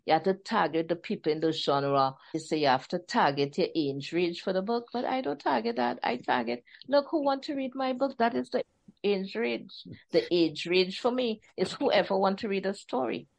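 A woman from Trinidad and Tobago, an author chatting in an interview, animated and acting out the voices she quotes. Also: medium pitch, moderate pace, creaky. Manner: animated, laughing storyteller who acts out quotes. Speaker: author guest. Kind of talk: casual interview chat.

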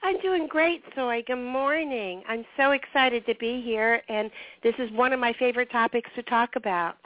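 A woman's voice: on the high side, normal speed, no room sound, narrow, tinny audio. Cheerful woman guest on a thin line. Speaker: guest recruiter. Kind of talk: radio interview answers. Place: radio studio.

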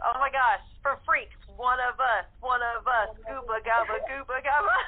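A woman's voice: fairly high pitch, steady tone, moderate pace, noisy background. A woman chatting casually as a cast guest in a group interview, over a thin phone-like connection. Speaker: radio-play cast member, interview guest. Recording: thin phone-line audio.

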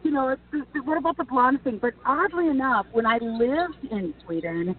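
A woman's voice: high-pitched, moderate pace, slightly rough. A woman from Minnesota, a TV and news personality, chatting animatedly as an interview guest. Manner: chatty and animated, frequent restarts. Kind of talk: casual interview answers. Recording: tinny phone-like line.

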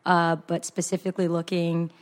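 A woman's voice: on the low side, completely flat delivery, normal speed. A young adult woman chef from San Jose, chatting casually as a podcast guest, laughing often and trailing off mid-sentence. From San Jose, California. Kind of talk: casual chat.

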